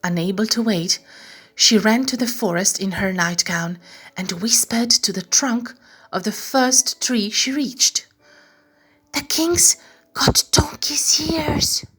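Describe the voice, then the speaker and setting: A woman reads a scripted bedtime story as narrator. Her delivery is unhurried and expressive, with a soft husky edge, and music plays underneath. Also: mid-range voice.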